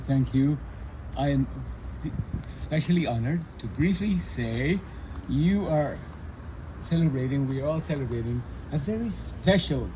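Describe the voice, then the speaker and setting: A man from Guyana giving an informal speech of thanks in deliberate, broken phrases with pauses. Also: medium pitch. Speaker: speaker giving a vote of thanks. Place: live ceremony at a statue. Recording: live event with vehicle noise.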